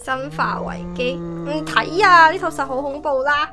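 A woman with a Hong Kong accent playing an excitable, easily scared little sister in a comedic radio drama, with dramatic, exclamatory delivery. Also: high-pitched, normal speed, over music. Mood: scared, indignant.

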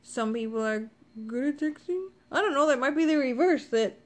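High-voiced woman chatting on a podcast. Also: animated, normal speed. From Ohio, USA. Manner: chatty, defensive, laughs often. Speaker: podcast co-host. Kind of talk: casual couple chat.

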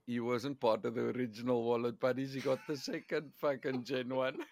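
A man's voice: medium pitch, little pitch movement, fairly quick, gravelly, darkish tone. A man hosting a casual podcast chat, teasing and swearing freely. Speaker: podcast host.

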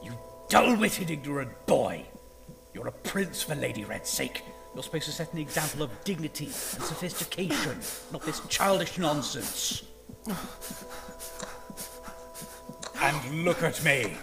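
A man's voice: on the high side, expressive, normal speed, vocal fry, over music, poor audio. A man playing a stern, middle-aged royal father in a fantasy audio drama, pompous, scolding and contemptuous. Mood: furious.

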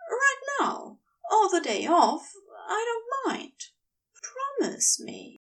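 A woman's voice: extremely high pitch, huge pitch swings, slow, distant mic, voice pushed up, heavily acted. A woman voicing a sweet, adoring wife in a story, coaxing earnestly with a streak of playful mischief.

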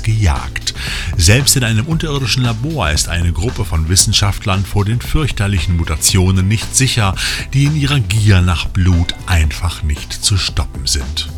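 A man with a fairly low voice hosts a scripted film news podcast. He speaks straight to the listeners and drops in tongue-in-cheek asides. Music runs underneath his narration. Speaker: podcast host.

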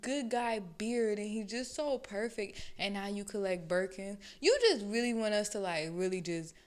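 Animated young woman in casual chat. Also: medium pitch, normal speed. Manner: animated, laughs often, mimics voices.

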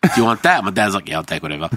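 A man's voice: sits low, very animated, very fast, fairly bright tone, no echo, studio quality. A man, telling rambling, swearing anecdotes in casual chat and acting out what people said.

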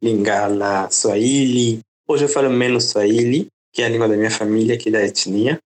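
A man from the Democratic Republic of the Congo, a native French speaker, explaining at length in a relaxed conversational interview. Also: fairly low pitch, moderate pace. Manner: relaxed, explanatory, often adds "né?" and "entende?". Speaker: podcast guest.

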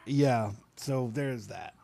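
A man's voice: mid-range voice, slow pace, creaky, dark tone. A man with a scratchy voice hosting a casual live-stream chat, talking with lots of fillers and laughs. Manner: chatty, laughs often, many fillers. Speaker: podcast host.

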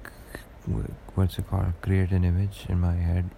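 A young man with a deep voice, rambling through a casual solo podcast monologue with lots of hesitations. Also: completely flat delivery, unhurried, vocal fry, dark tone, a little hiss or hum. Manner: rambling and hesitant, many fillers and restarts. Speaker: podcast host.

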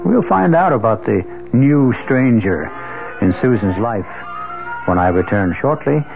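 A man hosting a radio mystery, narrating in a deliberate, ominous, suspenseful style. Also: mid-range voice, animated, vocal fry. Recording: tinny 1970s radio, music behind.

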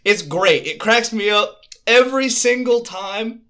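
Bright-voiced excitable young guy. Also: high-pitched, a little slow. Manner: enthusiastic, loose, swears casually. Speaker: podcast co-host. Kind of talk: casual music podcast chat.

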